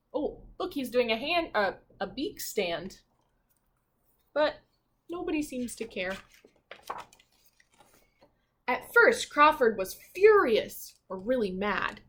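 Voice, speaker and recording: A woman teacher reading a children's storybook aloud expressively, pausing to comment and ask questions. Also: medium pitch, fairly bright tone.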